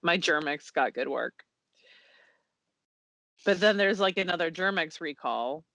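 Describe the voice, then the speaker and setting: An American woman co-hosts a podcast in easy, informal chat. Her voice is bright and forward with a bit of scratch in it. It is recorded close and dry. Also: deep voice, animated, takes it slowly, no echo. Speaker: podcast co-host. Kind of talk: casual chat.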